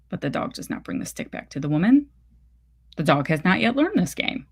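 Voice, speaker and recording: Female story reader, lower-pitched voice, measured and deliberate, literary narration.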